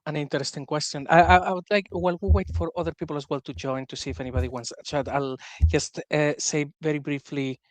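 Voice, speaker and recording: A man's voice: a little high, steady tone, quick pace, few pauses, no echo. A man appearing as a guest on a podcast, explaining his views in a thoughtful, slightly hesitant way. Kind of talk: casual discussion.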